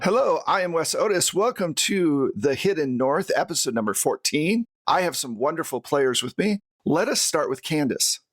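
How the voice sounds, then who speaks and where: A man's voice: a little high, lively intonation, normal speed, few pauses, faint music behind. A man speaking as game master and host, casually recapping a roleplaying story with playful sarcasm.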